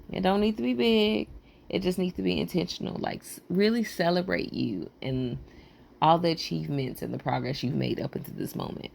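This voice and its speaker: A woman hosting a motivational podcast, talking in a loose, rambling way with a raspy, froggy voice, hoarse and short on sleep. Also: low voice, darkish tone. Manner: loose, rambling encouragement with casual slang. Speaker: podcast host.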